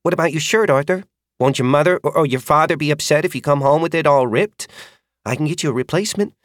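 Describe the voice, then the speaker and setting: A man's voice: a little high, no echo, clean studio sound, pitched above the performer's own voice, natural voice. A man voicing a young female dragon rider who is flustered but kind, talking anxiously and apologetically in an audiobook. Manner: anxious, apologetic, quickly talking herself calm. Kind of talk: audiobook character voice.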